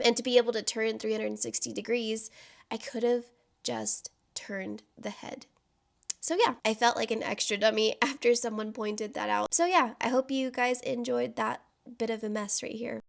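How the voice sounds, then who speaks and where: Woman, voice-over, stuffy from a cold, gravelly fry, a bit high, self-deprecating, talks straight to viewers. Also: fairly even intonation, moderate pace. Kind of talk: voice-over commentary.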